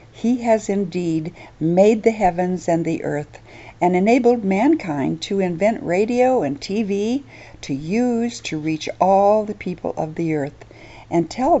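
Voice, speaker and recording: Gentle, earnest woman hosting a radio devotional. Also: on the low side, lo-fi sound. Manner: gentle, earnest, softly preaching. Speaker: radio ministry host.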